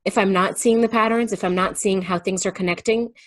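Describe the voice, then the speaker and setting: A woman guest explaining herself in casual conversation, thoughtful and halting, sometimes voicing her thoughts as quotes. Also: mid-range voice, fairly even intonation. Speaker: guest on a remote call.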